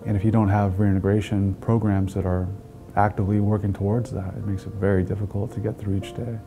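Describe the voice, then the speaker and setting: Flat, low-voiced man speaking haltingly. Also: background noise. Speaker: psychiatric hospital patient. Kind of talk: documentary interview.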